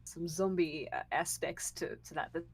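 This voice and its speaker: A woman talking casually as a guest on a podcast about her creative work, with a halting, giggly delivery. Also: deep voice, gravelly. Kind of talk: casual chat.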